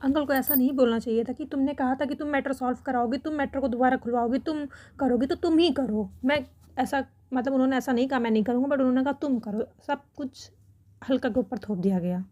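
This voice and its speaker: High, mellow-voiced woman giving earnest commentary. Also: a little fast. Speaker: reaction video host commenting on a family controversy. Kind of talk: opinion commentary addressed to viewers.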